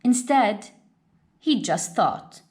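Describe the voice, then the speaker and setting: Woman reading a children's story, lively intonation. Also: mid-range voice, extremely slow. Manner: expressive, dramatic storytelling delivery. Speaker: storyteller reading a picture book aloud.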